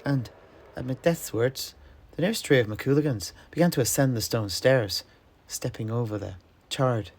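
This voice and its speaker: A man narrating a fantasy story dramatically, in measured, ornate phrases. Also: mid-range voice.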